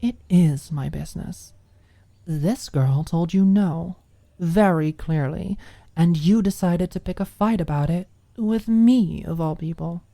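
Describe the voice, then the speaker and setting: A woman's voice: lowish voice, unhurried, vocal fry, dark tone, pitched above the performer's own voice. A woman voicing a cocky, confrontational college woman in an audiobook, taunting, smug and sarcastic. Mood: challenging.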